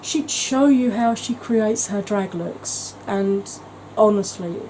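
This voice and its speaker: A woman's voice: medium pitch, takes it slowly, mellow, slight background noise, rough recording. A woman talking in a chatty, gushing way as a beauty vlogger, with frequent ums. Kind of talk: casual vlog chat.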